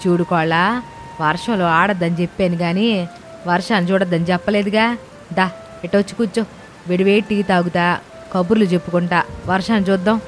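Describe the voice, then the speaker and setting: A woman's voice: lowish voice, steady tone, a little fast, long pauses, natural voice. Older mother-in-law played by a woman, firm, caring, instructive, cartoon story, music and rain behind. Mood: kindly bossy.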